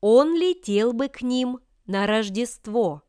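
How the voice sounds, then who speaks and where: A woman reading example sentences for language learners with careful, deliberate enunciation. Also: a little high, animated, a little mellow, clean tone, no echo, clean studio sound. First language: Russian. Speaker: language lesson reader.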